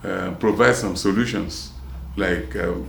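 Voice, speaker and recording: A gravelly older man in his seventies with a mixed Nigerian and American accent, rambling through his memories and circling back with restarts. His voice sounds roomy and echoing. Faint ambience hums behind him. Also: low voice, steady tone, measured pace, a little hiss or hum. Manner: rambling, with many restarts and trailing asides. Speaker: interviewee, former prison officer. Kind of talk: casual street interview.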